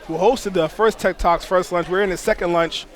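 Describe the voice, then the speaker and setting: A man hosting an upbeat on-location interview in an animated, enthusiastic manner. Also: high voice, moderate pace. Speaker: host. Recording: busy crowd chatter behind.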